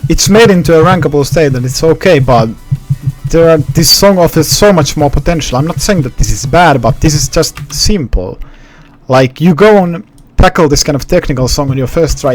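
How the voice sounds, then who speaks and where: A young man from Finland with a softened Finnish accent, chatting casually to his live-stream viewers with many restarts. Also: fairly high pitch, poor audio. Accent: Finnish, partly suppressed. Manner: rambling, restarts, talks to chat.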